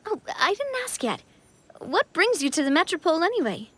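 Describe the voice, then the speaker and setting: A woman's voice: very high-pitched, big pitch swings, a little fast, fairly bright tone, no room sound, exaggerated voice. An actress playing a confident, cheerful young woman with a breezy, upbeat, theatrical manner in a video game. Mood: explaining. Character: confident cheerful young woman, a playful self-made king. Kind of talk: scripted English video game voice acting. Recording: music playing behind the voice.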